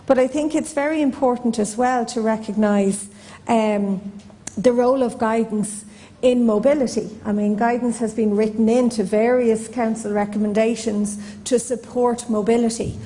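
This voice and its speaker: A middle-aged woman explains her view on a conference panel in a measured way, with informal asides. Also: a little high, steady tone, mellow, rough recording. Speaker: panelist, guidance professional. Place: indoor conference hall stage.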